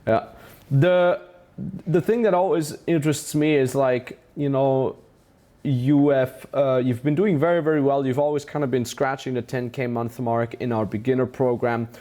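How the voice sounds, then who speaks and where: A man's voice: medium pitch, steady tone, normal speed, mellow. A man with a German accent hosting a casual interview as a business mentor, enthusiastic and full of praise. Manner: enthusiastic, praising, many restarts.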